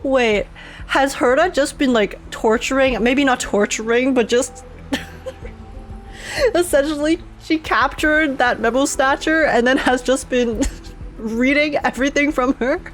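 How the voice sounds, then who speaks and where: A woman's voice: high-pitched, slow pace, low-quality recording. A young adult woman giving casual game reaction commentary, chatty and informal, thinking aloud as she goes. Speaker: gaming streamer. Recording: music playing behind the voice.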